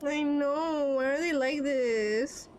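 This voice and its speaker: Slow-paced woman reacting to a game. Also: high voice, a little mellow, slight background noise. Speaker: streamer playing a story game. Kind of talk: casual reaction commentary. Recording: music playing behind. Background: game music.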